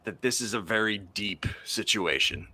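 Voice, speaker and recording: A man co-hosting a sports podcast, speaking in a measured way and carefully qualifying his points. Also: fairly low pitch, bright, forceful tone, no room sound. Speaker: podcast co-host. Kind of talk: casual sports chat.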